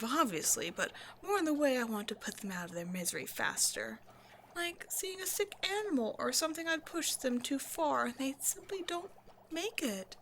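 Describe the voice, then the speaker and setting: A woman voicing a cold, century-old female vampire scientist in an audio roleplay, speaking in a dry, measured, condescending way straight to the listener. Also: on the high side, fairly bright tone, a little hiss or hum. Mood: detached pity. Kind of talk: scripted ASMR roleplay monologue, speaking to a listener.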